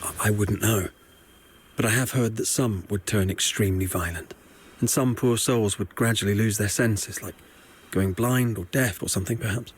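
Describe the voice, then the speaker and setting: An actor playing a nervy, talkative foot soldier in a video game, confiding and evasive in a conversational way. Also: male voice, on the low side, normal speed, a little hiss or hum, close to an everyday voice. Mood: hesitant, wary. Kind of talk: video game character dialogue.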